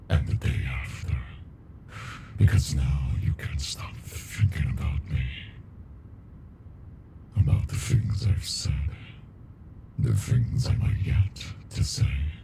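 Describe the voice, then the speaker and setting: A man voicing an ancient, arrogant captive alien in an ASMR monster roleplay, murmuring with slow, amused menace and condescension in a deep, gravelly voice. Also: noisy background, full character voice.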